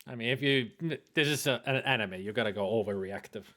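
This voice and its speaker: A man's voice: medium pitch, quick pace, fairly bright tone. A Scottish man chatting casually while playing a game, rambling with many fillers and frequent laughs. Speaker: let's play co-host. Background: faint background music.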